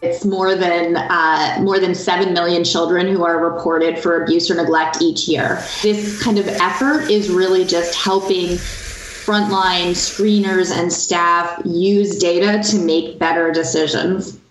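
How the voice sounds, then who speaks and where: A woman's voice: on the low side, steady tone, unhurried, echoey room, low-quality recording. A woman speaking thoughtfully in an interview, with some restarts.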